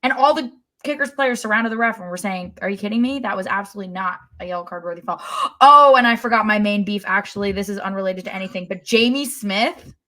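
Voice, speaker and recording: Woman, bright forceful voice, casual sports podcast co-host, opinionated. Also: medium pitch, quick pace, few pauses. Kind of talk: casual sports fan chat.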